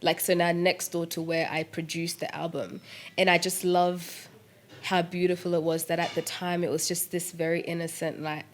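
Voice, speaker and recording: A young woman musician chatting in an interview about making her music, lively and laughing, with lots of "like" and "um". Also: lowish voice, normal speed. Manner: animated, laughing, fillers like "like" and "um". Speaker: musician and producer guest. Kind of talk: casual interview chat.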